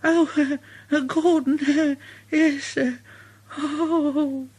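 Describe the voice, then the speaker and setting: A man voicing a small, cheeky young tank engine in a storybook reading, with a high, squeaky, flustered voice. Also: flat delivery, drawn-out words, darkish tone, full character voice. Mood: hesitant.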